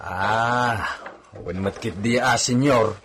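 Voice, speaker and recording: A man acting a loyal, nervous servant in a radio drama, husky and hesitantly deferential. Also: fairly low pitch, slow pace, a bit bright, slight background noise.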